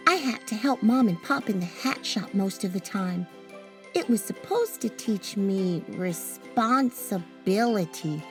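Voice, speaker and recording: A woman reading a first-person children's story aloud in an expressive, scripted storytelling style, with tinkling music behind her. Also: medium pitch. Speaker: storybook reader.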